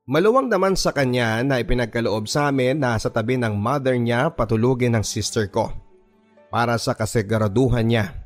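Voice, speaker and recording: A man with a Filipino accent reading a first-person story as dramatic scripted narration for a radio drama. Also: mid-range voice. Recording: music bed under the voice. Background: background music.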